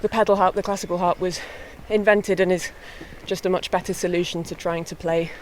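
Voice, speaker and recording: A young woman, a harpist, chatting in a relaxed way with light laughs while out walking on a hillside. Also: fairly low pitch, brisk, rough recording. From born in England, raised in mid Wales. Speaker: harpist and guest. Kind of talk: casual walking interview. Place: outdoors on a hillside walk. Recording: faint outdoor noise.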